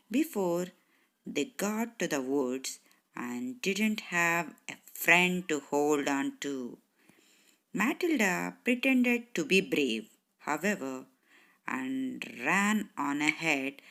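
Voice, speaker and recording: A woman narrating a children's bedtime story in a measured, expressive way with dramatic pauses. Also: low-pitched.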